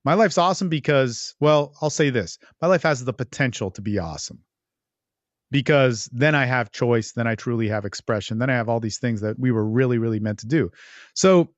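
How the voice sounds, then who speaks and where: Man, mid-pitched voice, podcast coach, blunt and sweary, rambling monologue, emphatic delivery, dull lo-fi audio. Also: a little fast, no echo. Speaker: podcast host and dating coach. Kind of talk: casual, unscripted advice monologue.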